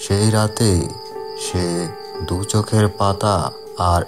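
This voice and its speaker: Deep-voiced male horror story narrator over music. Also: little pitch movement, slow pace.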